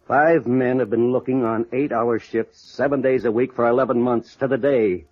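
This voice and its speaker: A man's voice: mid-range voice, fairly even intonation, moderate pace, natural voice. An American man playing a blunt, weary, prickly detective agency boss in a 1950s radio noir drama. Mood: weary, resentful. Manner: blunt, grumbling, hard-boiled. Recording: muffled vintage radio recording.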